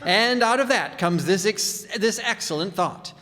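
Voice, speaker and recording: Man as animated old-time radio announcer, echoey hall. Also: high-pitched, a little slow, heavily acted. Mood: genial. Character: folksy 1940s radio announcer and sponsor pitchman.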